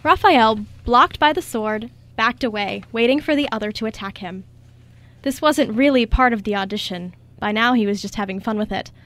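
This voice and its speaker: High-voiced woman narrating a radio story. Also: moderate pace, fairly bright tone, dry recording.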